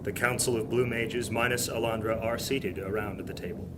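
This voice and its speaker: A man's voice: on the low side, steady tone, moderate pace, very noisy, close to an everyday voice. A man performing as the narrator of a fantasy audio drama, reading scripted storybook narration. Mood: measured.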